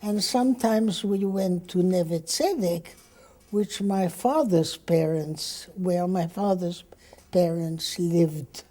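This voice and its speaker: An older woman from Egypt whose first language is Hebrew, slowly and reflectively telling her life story in an oral history interview. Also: fairly low pitch, dark tone. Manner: slow, reflective storytelling with hesitations.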